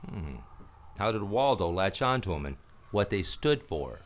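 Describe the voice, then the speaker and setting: A man's voice: low-pitched, very animated, a little slow. A man playing a cynical, laconic, world-weary private detective who is protective of a woman in a noir radio play. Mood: probing, wry. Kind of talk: radio play acting with first-person noir narration.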